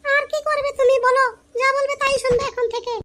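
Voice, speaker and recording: A performer voicing a brash, defiant young village wife, scolding and whining in a shrill, exaggerated comic Bengali drama style. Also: little pitch movement, brisk, sharp tone, slight background noise. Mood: scornful.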